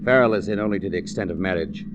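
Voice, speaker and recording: A man's voice: sits low, racing, background noise, natural voice. An actor playing an earnest, concerned businessman in a 1940s radio drama, formal and a little hesitant.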